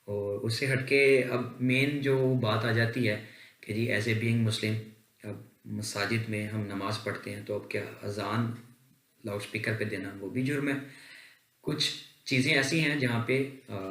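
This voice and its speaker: A man's voice: fairly low pitch, fairly bright tone. A man with a Pakistani accent presents an explanation to viewers in a formal, earnest manner, as the host of a legal advice channel. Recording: echoey, reverberant room.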